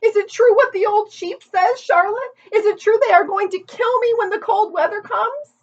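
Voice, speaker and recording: A woman's voice: fairly low pitch, sing-song, moderate pace, breathy edge. A woman voicing a frightened young male pig who screams and sobs in panic. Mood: panicked. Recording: dull lo-fi recording.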